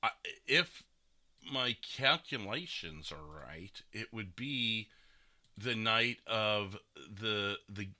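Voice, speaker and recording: A man's voice: on the low side, expressive, slow pace, pushed and bright. A man hosting a podcast in casual, conversational chat. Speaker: podcast host.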